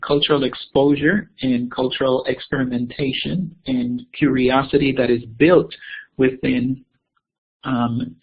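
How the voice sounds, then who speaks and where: Keynote speaker, man from Costa Rica, hesitant, husky voice, phone-quality audio. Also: mid-range voice. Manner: hesitant, many ums and repeated words. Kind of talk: webinar presentation.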